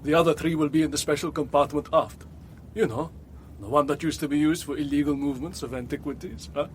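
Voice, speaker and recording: An actor playing a courteous, dependable ship's captain in a radio drama, speaking formally and obligingly. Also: male voice, a little high, fast, slight background noise, close to an everyday voice. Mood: obliging, conspiratorial.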